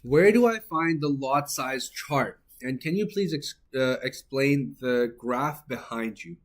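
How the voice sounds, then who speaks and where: A man's voice: medium pitch, animated, slow pace. A man hosting an informal trading livestream, hyping with catchphrases and answering viewer comments as he goes. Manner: hype-driven, informal, repeats catchphrases. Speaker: livestream host, trader. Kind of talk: live-stream sales pitch and chat replies. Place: office.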